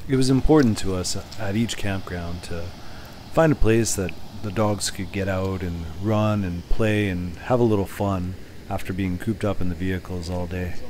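A man in his forties narrating a casual travel vlog and chatting at a campsite, relaxed and quick to laugh. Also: low-pitched. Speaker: vlogger. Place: outdoors at a campsite picnic table. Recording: outdoor noise with insects.

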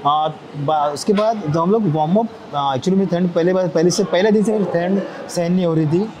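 A man in his thirties with a Mumbai accent, explaining things in an interview in a halting way, restarting often. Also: high voice, low-quality recording. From Mumbai, India. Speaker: competitive bodybuilder, interviewee. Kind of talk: interview answers. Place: large busy indoor gym. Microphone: clip-on lapel mic. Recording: loud music and crowd behind voice. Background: loud music, crowd.

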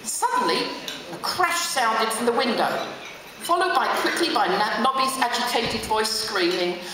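A woman's voice: a little high, a little slow, a crowd in the background. A middle-aged woman with an English accent gives a theatrical, dramatic book reading aloud to an audience. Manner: expressive, theatrical. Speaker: reader. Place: large hotel function room, on stage. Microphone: stand mic at lectern through PA, filmed from audience. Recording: very echoey hall, audience noise.

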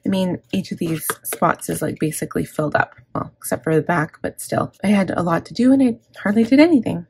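Woman, middle-aged planner vlogger, gravelly and husky throat, candid rambling chat with self-corrections. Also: on the low side, lively intonation, brisk, darkish tone, no room sound. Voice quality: gravelly, scratchy. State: scratchy, gravelly throat from inhaler. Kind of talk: casual plan-with-me chat. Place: indoors at a desk.

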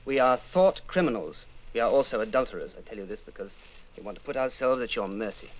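A man playing an earnest, resolute rebel with a deliberate delivery in an old-time radio drama. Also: on the low side, lively intonation, rough recording. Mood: earnest, tense. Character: earnest, resolute male rebel against the Party.

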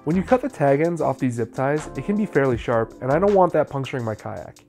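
Man with lively pitch. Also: a little fast, soft background music. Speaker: how-to video host. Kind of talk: scripted how-to narration.

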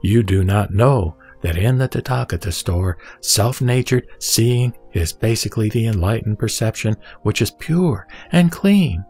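A man giving a solemn scripted recitation of Buddhist scripture, with deliberate, measured phrasing and emphatic questions. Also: fairly low pitch, lively intonation, a little mellow, slightly rough. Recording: background music under the voice. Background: music.

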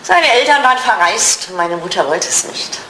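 A woman's voice: medium pitch, lively intonation, moderate pace, sharp tone. An older upper-class lady in a retro radio play, her voice swinging animatedly up and down. She is coquettish and playfully reproachful, heard in a roomy, noisy recording. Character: elderly English lady, old flame, playful, teasing, sentimental. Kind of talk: 1980s German radio play acting. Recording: roomy sound with clear background noise.

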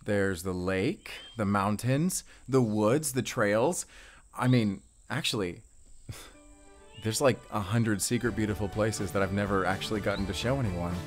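A man's voice: lowish voice, unhurried, soft background music, close to an everyday voice. A man playing a friendly, playful young father in an intimate audio roleplay, chatting with bashful chuckles. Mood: bashful, tender. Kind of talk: intimate audio roleplay acting, addressing the listener.

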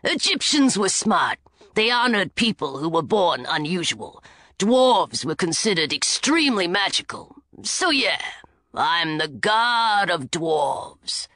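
A grumbling, blunt dwarf god chauffeur, put on by a woman reading aloud. His voice sits low and grunts out short lines. The sound is dry and close. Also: very animated, takes it slowly, sharp tone, no room sound, full character voice. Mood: boastful.

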